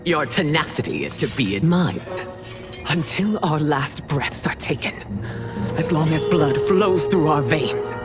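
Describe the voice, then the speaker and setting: A proud warrior empress and mother from a fantasy game. Her low, stately voice moves slowly and swings widely in pitch over loud music. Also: expressive. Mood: proud, defiant. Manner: stately, measured.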